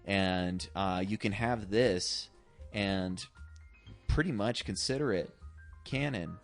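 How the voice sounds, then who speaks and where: Low-voiced man talking film with fannish enthusiasm. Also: slow pace, faint music behind. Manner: effusive, profane fan talk. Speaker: podcast co-host. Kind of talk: casual enthusiastic film discussion.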